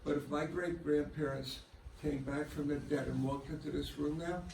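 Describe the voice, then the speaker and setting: A man whose first languages were German and Sicilian, speaking earnestly and slowly from the audience, searching for words. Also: on the high side, steady tone, creaky. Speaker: audience member. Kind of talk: audience comment. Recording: echoey hall, mic far off, faint noise.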